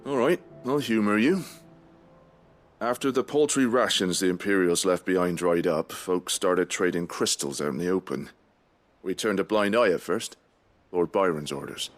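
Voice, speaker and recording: A male actor voicing a gruff mercenary guard in a fantasy game. He has a low-pitched British voice and talks freely in blunt, grumbling, colloquial speech. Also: normal speed, natural voice. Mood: grudgingly helpful. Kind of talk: video game voice acting.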